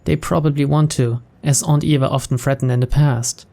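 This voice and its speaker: A man's voice: mid-range voice, fairly even intonation, brisk, vocal fry, warm tone. A man playing through a visual novel, reading its narration aloud in English as a storyteller. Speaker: video game let's player.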